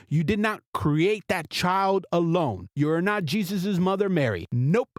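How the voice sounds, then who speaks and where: A man's voice: fairly high pitch, no echo, studio quality. A man hosting a podcast, delivering a blunt, sarcastic comedic monologue straight to listeners. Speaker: podcast host.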